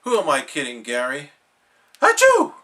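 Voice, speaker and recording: A man voicing an excitable, sickly cartoon sea sponge in a dramatic, whiny, pleading manner. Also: fairly high pitch, a little slow, exaggerated voice. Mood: anxious pleading.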